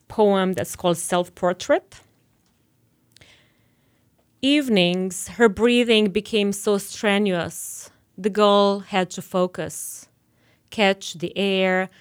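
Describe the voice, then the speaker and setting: A woman poet from Romania with a Romanian accent, talking haltingly in an interview and then reading her poems slowly and carefully. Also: medium pitch, clean tone. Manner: hesitant, restarts often when speaking freely; slow and deliberate when reading poems. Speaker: poet guest. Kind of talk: interview talk and poetry reading.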